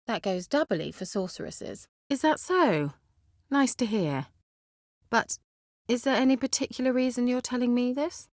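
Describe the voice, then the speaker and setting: An actress playing a self-assured, coy and haughty young sorceress in a video game, with articulate, teasing, theatrical delivery. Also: female voice, on the high side, expressive, normal speed, darkish tone, no room sound, studio quality. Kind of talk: video game character acting.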